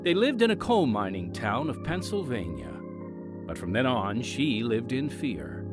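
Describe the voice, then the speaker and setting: Animated male radio announcer over loud organ. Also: mid-range voice, measured pace. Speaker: announcer and narrator. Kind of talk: scripted dramatic radio announcing. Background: organ music.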